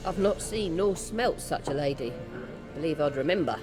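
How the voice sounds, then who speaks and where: An actress playing a courteous, wary village innkeeper in a video game, plain-spoken and rustic in manner. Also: female voice, sits low, expressive, normal speed. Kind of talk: video game cinematic acting. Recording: clear music behind the voice.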